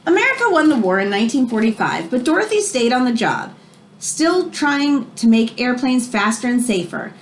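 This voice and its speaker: Lady teacher reading a kids book out loud, highish voice that swings up and down a lot, leans on key words. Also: sing-song, a little hiss or hum. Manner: explanatory, emphatic, with drawn-out key phrases. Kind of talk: expressive read-aloud of a children's nonfiction book with brief asides.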